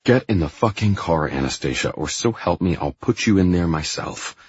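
Man acting a deep, curt, commanding tycoon. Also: expressive, moderate pace, darkish tone, husky, highs cut off, full character voice. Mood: furious. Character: curt, commanding, hot-tempered male tycoon. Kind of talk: audiobook character dialogue.

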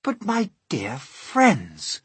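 A man's voice: sits high, huge pitch swings, very slow, a bit bright, husky, no room sound, pitched above the performer's own voice, full character voice. A man voicing a kindly old male grasshopper, hopeful and reassuring, in a children's storybook reading. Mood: forced cheer. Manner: encouraging, hopeful.

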